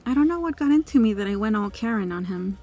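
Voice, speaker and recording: A woman plays a kind, caring restaurant customer in an ASMR roleplay. Her voice is mellow and mid-pitched, with a soothing, reassuring manner over faint music. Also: expressive, fast talker, natural voice. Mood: sympathetic. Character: kind female restaurant regular, gentle, caring stranger.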